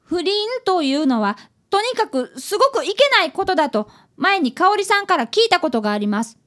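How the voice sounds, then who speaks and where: A woman's voice: very high-pitched, big pitch swings, brisk, a bit bright, full character voice. A woman playing an earnest, polite schoolboy apprentice detective who acts and narrates in a radio drama. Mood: innocent, earnest.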